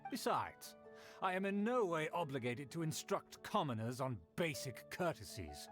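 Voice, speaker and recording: A man's voice: on the high side, big pitch swings, normal speed, soft background music. A pompous, sneering nobleman. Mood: haughty. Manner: pompous, lecturing, disdainful. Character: haughty young aristocratic nobleman, condescending and pompous. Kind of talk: video game voice acting.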